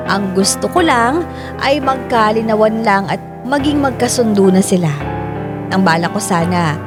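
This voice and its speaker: A man's voice: sits high, natural voice. An actor playing a troubled young boyfriend, narrating his own dilemma earnestly and reflectively in a radio drama. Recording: music bed under narration.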